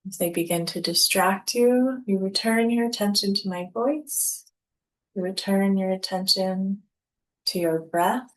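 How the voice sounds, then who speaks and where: A woman leading a guided yoga nidra relaxation as instructor, speaking calmly in short, deliberate phrases with pauses. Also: medium pitch, poor audio.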